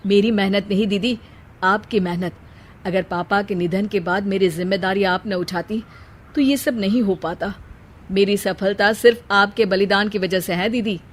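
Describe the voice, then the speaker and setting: A woman's voice: medium pitch, fairly even intonation, moderate pace, close to an everyday voice. A woman voicing an earnest, emotional young man, the younger brother in a cartoon moral story. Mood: grateful.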